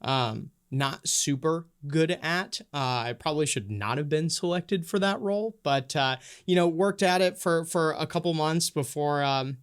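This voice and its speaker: A man's voice: fairly high pitch, a little slow, few pauses, clean tone. A young man on a podcast telling his own story in casual chat, self-deprecating, with plenty of ums and likes. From Minnesota and Honduras. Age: young adult. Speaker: podcast co-host and guest.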